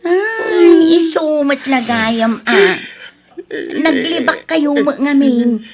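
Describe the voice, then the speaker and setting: A woman's voice: sits high, expressive, drawn-out words, a loud crowd, full character voice. An actress playing a frank, teasing woman with an Ilocano accent in a comedic radio-style drama, blunt and chiding.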